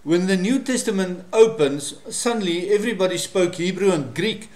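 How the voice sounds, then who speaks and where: A man's voice: high voice, darkish tone. Afrikaans-man teaching in an echoey room. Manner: measured and explanatory, with frequent fillers. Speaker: Bible study presenter. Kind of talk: solo teaching monologue to camera.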